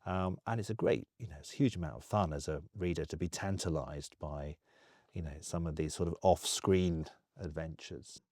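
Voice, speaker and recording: A man's voice: sits low, normal speed, darkish tone, no room sound, clean studio sound. A man with an English accent, explaining things in an interview.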